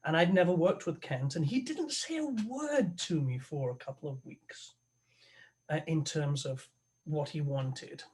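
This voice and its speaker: A man, an opera tenor, talking as a guest on a video call, halting and thoughtful with many restarts. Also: a little high, expressive, a little mellow. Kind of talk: conversational interview over a video call.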